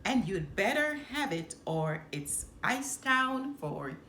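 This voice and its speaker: A woman's voice: on the high side, very animated, slow pace, pushed and bright, exaggerated voice. A woman voicing a tiny, stern male animal crime lord in a storybook, commanding and menacing. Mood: threatening. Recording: roomy echo, some background noise.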